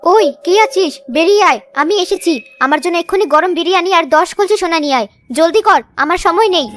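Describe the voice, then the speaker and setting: A woman voicing a bossy, greedy, sharp-tongued village sister-in-law in a children's cartoon. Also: extremely high pitch, brisk. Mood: scornful, bossy. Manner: scolding, imperious. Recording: music under the voice. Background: children's music.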